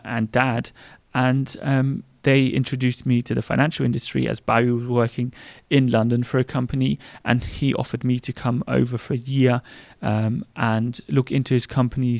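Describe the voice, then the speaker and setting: A man's voice: medium pitch, gravelly, a little mellow, dry recording. A man in his thirties with a German accent, chatting casually as a podcast co-host with a lot of fillers. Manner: chatty, rambling with frequent fillers.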